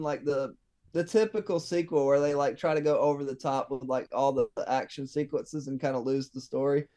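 A man on a casual podcast, talking hesitantly and filling his sentences with ums and likes. His voice is a little higher than most men's. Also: darkish tone.

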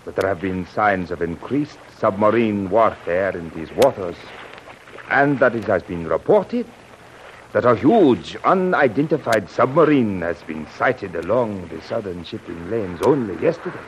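Ominous polite ship passenger, slow theatrical talk, noisy old radio drama recording. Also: male voice, lowish voice, animated, lo-fi sound. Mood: ominous, warning. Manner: theatrical, portentous, formal. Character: probing, suspicious male ship passenger, courteous and ominous. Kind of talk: 1930s radio serial acting.

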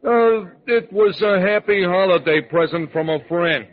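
A man's voice: high-pitched, measured pace, voice pushed up. An actor playing a tough male bouncer with streetwise gangster slang in a 1940s-style radio crime drama. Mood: evasive. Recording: tinny old radio recording.

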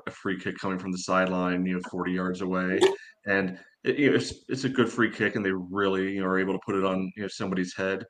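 A man giving casual sports analysis as a podcast panelist, in halting phrases padded with filler. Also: low-pitched, fairly bright tone, a little hiss or hum, low-quality recording. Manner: halting, often says "you know".

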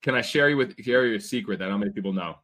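A man talking as an interview guest, telling stories with energy and growing excited. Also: fairly low pitch, racing. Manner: energetic, animated storytelling. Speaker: guest, sports team owner. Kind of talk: casual interview talk.

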